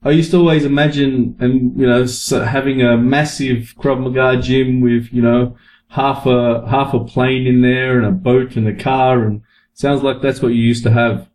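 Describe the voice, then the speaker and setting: A man's voice: mid-range voice, little pitch movement, normal speed, darkish tone, poor audio. A man hosting a casual interview podcast, friendly and loose, with fillers like "um" and "mate". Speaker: podcast host.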